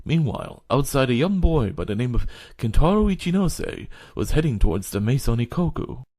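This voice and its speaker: A man's voice: mid-range voice, very animated, normal speed, darkish tone, no echo, studio quality. A man giving scripted narration for an audio drama.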